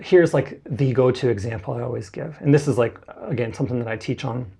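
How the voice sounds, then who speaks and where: Creaky, husky young man explaining casually. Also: medium pitch, a little fast, mellow. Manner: loose and explanatory, many "like" fillers. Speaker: interview guest, Japanese tutor. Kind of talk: casual conversational interview.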